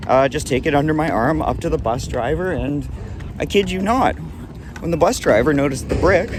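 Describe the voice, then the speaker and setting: A man vlogging outdoors at a bus stop, talking in an indignant, rambling way full of restarts. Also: mid-range voice. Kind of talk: rambling vlog monologue. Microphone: handheld camera. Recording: clear street traffic noise. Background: passing road traffic.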